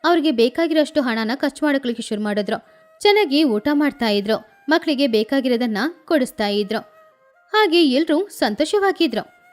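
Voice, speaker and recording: High-pitched woman storyteller over music. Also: expressive, normal speed. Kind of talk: scripted narration of children's moral story. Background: background music.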